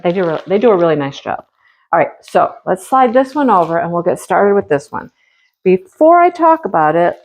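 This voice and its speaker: A woman talks straight to her viewers in a homey, chatty way as she unboxes craft kits. Her voice is a little stuffy, and she has a slight cough from a cold. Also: medium pitch. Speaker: crafting channel host. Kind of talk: casual unboxing chat.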